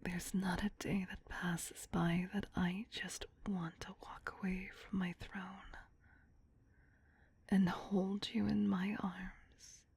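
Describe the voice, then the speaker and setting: A woman playing a tender, possessive vampire queen in an ASMR roleplay, in a breathy whisper with a soothing, intimate, doting manner. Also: lowish voice, level tone, slow pace, a little mellow, exaggerated voice. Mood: adoring.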